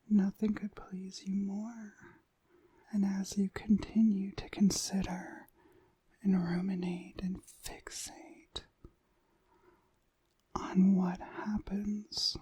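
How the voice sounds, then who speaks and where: A woman playing a dominant, seductive witch mistress in a whispered ASMR hypnosis roleplay, breathy, soothing and commanding. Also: mid-range voice, warm tone, dry recording, full character voice.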